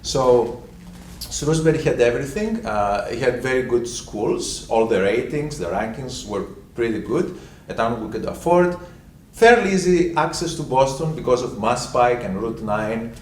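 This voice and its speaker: A man's voice: medium pitch, animated, measured pace, darkish tone, slight background noise. Greek-born man, distant and echoey. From Greece. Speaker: panel speaker. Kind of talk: personal story at a community event. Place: library event room.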